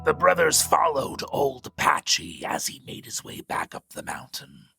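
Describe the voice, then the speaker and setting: A man reading scripted story narration in a gravelly voice. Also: a little high, very animated, measured pace, pushed and bright. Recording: music bed behind the voice.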